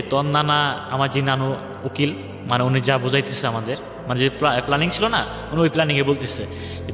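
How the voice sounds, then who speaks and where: A young man telling his life story on a radio show, rambling and acting out what others said. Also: medium pitch. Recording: echoey hall-like reverb, music underneath. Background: background music.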